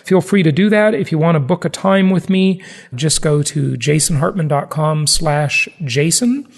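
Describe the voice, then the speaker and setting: Higher-pitched, creaky-voiced American man hosting. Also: normal speed. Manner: chatty, conversational, informal asides. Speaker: podcast host. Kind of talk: casual solo podcast talk.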